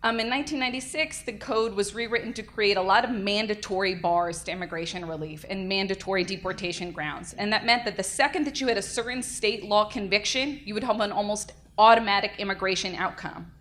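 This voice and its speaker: Energetic woman lecturing at a workshop. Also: mid-range voice, brisk, hardly any pauses. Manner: energetic, lively, self-described loud. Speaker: defense attorney giving a workshop talk. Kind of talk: conference lecture. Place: conference room. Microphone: handheld or podium mic.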